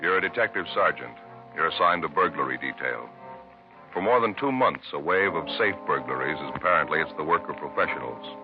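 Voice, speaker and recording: A man announcing in a scripted 1950s radio style, deliberate and emphatic. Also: a bass voice, expressive. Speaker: radio announcer and cigarette pitchman. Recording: muffled old radio recording. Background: music.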